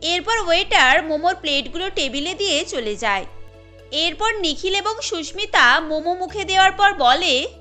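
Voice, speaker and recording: A woman narrates a scripted cartoon story. Her voice is strikingly high and bright. Music plays underneath the narration. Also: expressive, moderate pace.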